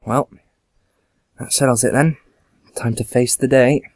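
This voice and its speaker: A man's voice: medium pitch, steady tone, unhurried, music in the background, natural voice. A man playing a troubled young man in an audio drama, muttering to himself. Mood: weary resolve. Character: troubled young man haunted by monster memories.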